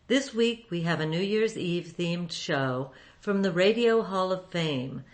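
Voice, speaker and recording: A woman's voice: on the low side, expressive, a little slow. A woman radio host reading a scripted introduction to a program.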